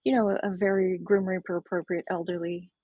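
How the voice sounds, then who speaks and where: A woman guest on a casual podcast interview, speaking with a hoarse, froggy voice and a gravelly growl, explaining at length and often restarting phrases. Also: on the low side, little pitch movement, fast, no echo, phone-quality sound. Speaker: author guest.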